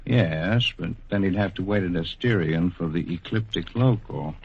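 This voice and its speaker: An actor playing a patient, kindly bureaucrat in 1940s radio drama, measured and officious with small hesitations. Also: male voice, low voice, darkish tone, a little hiss or hum. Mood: patient, official. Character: patient, kindly, bureaucratic male superintendent of the afterlife. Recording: dull, husky old radio recording.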